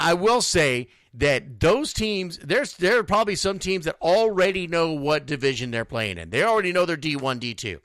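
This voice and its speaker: Guy doing sports radio talk, blunt, punchy, bright voice, kinda high, dry sound. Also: no room sound. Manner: blunt, emphatic, repeats phrases for stress. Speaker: youth football radio show host. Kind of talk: informal sports talk monologue.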